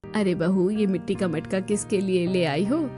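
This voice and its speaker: A woman's voice: medium pitch, moderate pace, mellow, faint music behind, natural voice. A woman voicing an older, approving, easily amazed mother-in-law in a scripted cartoon. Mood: pleasantly surprised. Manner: wondering, approving.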